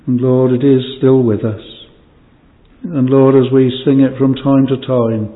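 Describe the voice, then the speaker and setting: A man prays slowly and solemnly in old-fashioned language, his voice level and flat, phrases fading to a hush. The sound is narrow and phone-like, with a long hall reverb behind him. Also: mid-range voice. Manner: solemn, archaic "thou" phrasing, pleading; voice falls away quietly at phrase ends. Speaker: minister leading prayer. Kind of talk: extempore congregational prayer.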